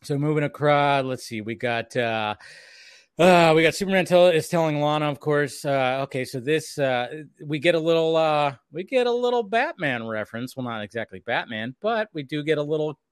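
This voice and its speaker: A man's voice: fairly high pitch, normal speed, clean tone, no echo, clean studio sound. A man giving a casual, rambling recap commentary as a co-host, slipping into conversational quotes as he goes. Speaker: podcast co-host.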